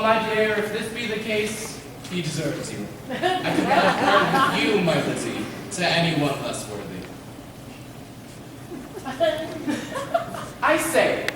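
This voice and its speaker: Man playing a high-pitched, emphatic father, echoey hall. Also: very animated, normal speed. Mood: tender, wry. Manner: theatrical, emphatic. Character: exclamatory, doting, wry older father. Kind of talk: amateur stage play acting. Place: theatre stage before an audience. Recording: echoey hall, distant mic, audience noise.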